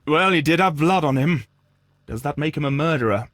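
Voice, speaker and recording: Disdainful gentleman, dry recording. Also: a little high, lively intonation, a little fast, no echo. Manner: formal, clipped, dismissive. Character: guarded, disdainful Victorian gentleman under questioning. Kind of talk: scripted video game voice acting.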